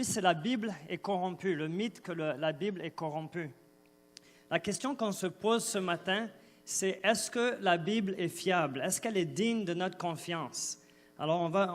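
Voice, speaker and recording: A man in his forties with an Ivorian French accent, preaching a sermon in a relaxed, hesitant way with many fillers and restarts. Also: fairly high pitch. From Ivory Coast. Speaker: guest preacher.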